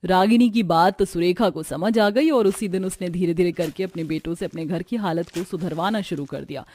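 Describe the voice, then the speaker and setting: A woman's voice: on the low side, moderate pace, warm tone. A woman narrating a scripted family cartoon story.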